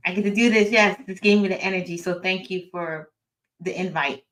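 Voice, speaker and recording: Middle-aged woman speaker, slightly low voice. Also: fairly even intonation, fairly quick, fairly bright tone. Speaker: author and educator, guest speaker. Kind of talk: informal introduction and personal talk.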